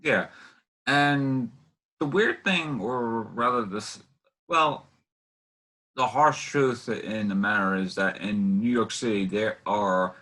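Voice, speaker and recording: A man hosting a casual interview, asking questions in a halting, rambling way with many restarts. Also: fairly low pitch, sharp tone, poor audio. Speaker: interview host.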